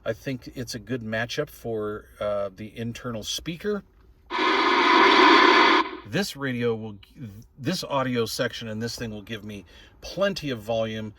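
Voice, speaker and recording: An older man talks in a medium-pitched voice, casually reviewing equipment as a hobbyist. His delivery is folksy and plain-spoken. He rambles from point to point like someone chatting to regular viewers. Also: a little slow, background noise. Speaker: radio hobbyist reviewer and video host. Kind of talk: casual product review vlog.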